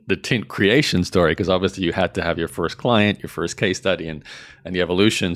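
A man's voice: low voice, no echo, clean studio sound. A man hosting a podcast interview, asking long conversational questions. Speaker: podcast host.

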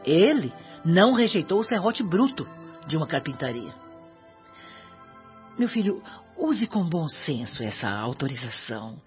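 A woman's voice: low voice, sing-song, measured pace, long pauses. An actress playing a kindly, devout elderly mother spirit in a Brazilian radio drama, speaking Portuguese in an earnest, maternal, moralizing way. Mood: gently admonishing. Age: older. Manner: maternal, earnest, moralizing with gentle tag questions. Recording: dull audio with background music.

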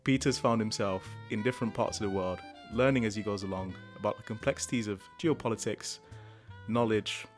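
A man's voice: lowish voice, brisk, faint music behind. A man hosting a podcast, reading an earnest, carefully worded introduction and then chatting with his guest. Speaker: podcast host. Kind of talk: scripted introduction then casual chat.

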